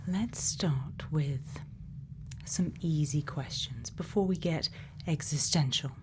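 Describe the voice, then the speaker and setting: A woman playing a gentle, quietly kind scientist in a soft, often whispered ASMR roleplay, soothing and reassuring with many pauses. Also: deep voice, background noise. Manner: slow, soothing, pausing, reassuring. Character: gentle female scientist, quietly kind, programming a robot friend.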